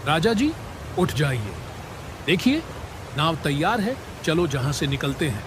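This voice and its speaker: A man's voice: fairly high pitch, unhurried, noisy background. A man voicing a clever, brave young village man in a cartoon, talking eagerly and politely. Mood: cheerful. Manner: eager and polite, lively delivery. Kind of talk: cartoon voice acting.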